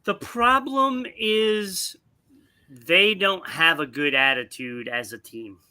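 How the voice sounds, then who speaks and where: A man co-hosting a live sports talk show, giving opinions in a deliberate way with pauses mid-sentence. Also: high voice, bright, forceful tone, clear, resonant tone.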